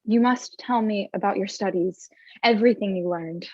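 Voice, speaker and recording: A woman's voice: medium pitch, normal speed, a little mellow, dry recording, highs cut off, lightly acted. A woman playing an affectionate, forthright young sweetheart in a period drama reading, teasing and tender.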